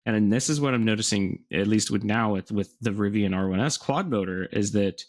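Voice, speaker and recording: A man with a fairly low voice co-hosts a podcast. His delivery is conversational and explanatory, like informal chat among peers. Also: brisk. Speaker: podcast co-host.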